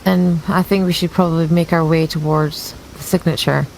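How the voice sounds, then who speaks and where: A woman's voice: low-pitched, fairly even intonation, normal speed, gravelly, a little mellow, a little hiss or hum, rough recording. A woman speaking as a player in a tabletop game, slipping casually into in-character roleplay.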